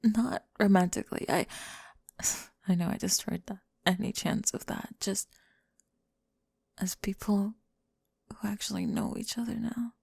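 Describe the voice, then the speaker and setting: Woman acting as vulnerable young woman, breathy, creaky edge, halting and confessional, apologetic, intimate ASMR roleplay, close dry sound. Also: medium pitch, darkish tone, dry recording. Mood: vulnerable, hushed. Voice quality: breathy, often whispered. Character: remorseful, vulnerable young woman confessing to someone she rejected. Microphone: close, dry microphone.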